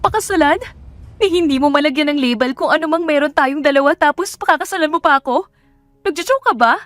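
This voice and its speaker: A woman's voice: high voice, fast, fairly bright tone, no room sound, soft background music, voice pushed up. A woman voicing a hurt, defiant young mother in a romance audio drama, speaking emphatically and indignantly. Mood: bitter, indignant.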